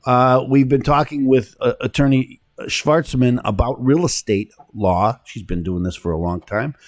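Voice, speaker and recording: Chatty Chicago-accented radio host. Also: male voice, mid-range voice, unhurried, dry recording, studio quality. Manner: chatty, stumbles and repeats words. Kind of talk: casual radio talk show chat. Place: radio studio.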